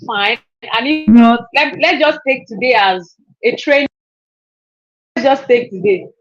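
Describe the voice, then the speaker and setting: Brief: woman, 30s, chatty live stream host, higher voice, dull lo-fi audio. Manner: chatty, repeats herself, laughs often. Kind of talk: casual live stream chat.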